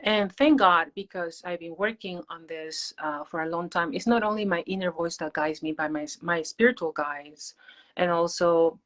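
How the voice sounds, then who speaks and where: A woman with a Peruvian Spanish accent speaks in a slightly low voice. She is an interview guest, explaining things in a personal, reflective, conversational way. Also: normal speed, fairly bright tone. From Peru. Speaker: interview guest, meditation teacher.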